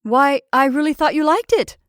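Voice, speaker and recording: A woman's voice: high-pitched, moderate pace, no echo, studio quality, voice pushed up, close to an everyday voice. A woman voicing a stubborn, domineering, exasperated man in an audiobook, with a defiant, barking, taunting manner. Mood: hard taunting. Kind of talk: audiobook character acting.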